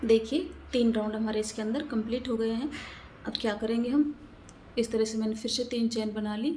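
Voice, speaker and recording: A woman's voice: fairly high pitch, fairly even intonation, a little fast, a little mellow, slight background noise. A woman narrating a step-by-step craft tutorial, explaining in a repetitive, instructional way and counting aloud.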